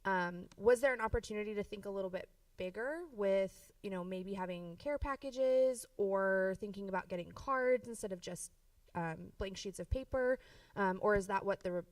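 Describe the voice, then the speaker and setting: A woman's voice: mid-range voice, normal speed, dry recording. Friendly woman judge, distant and dry. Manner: friendly, hesitant phrasing with you knows. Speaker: contest judge.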